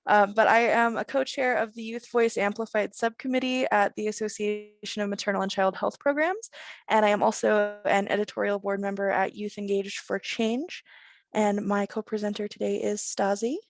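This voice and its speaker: A woman's voice: medium pitch, steady tone, normal speed, no echo. A woman in her twenties co-presenting an online workshop, upbeat and laughing lightly as she introduces herself. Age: 20s.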